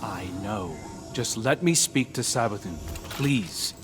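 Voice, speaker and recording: A man playing a defiant, earnest hunter in a game, speaking with hushed intensity. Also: on the low side, expressive, slow pace, music in the background. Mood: pleading. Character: defiant younger male hunter, earnest and prickly. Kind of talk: dramatic game dialogue.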